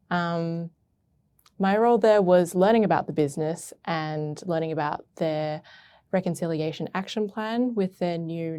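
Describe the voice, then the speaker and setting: Woman intern, low mellow voice, dry sound, casual hesitant interview answers. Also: no echo. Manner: hesitant, thoughtful, many fillers. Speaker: arts intern.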